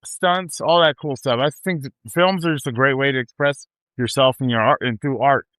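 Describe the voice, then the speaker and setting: Man from texas, convention attendee, laid-back, casual swearing, clear voice, dry. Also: medium pitch, normal speed, a bit bright, no room sound.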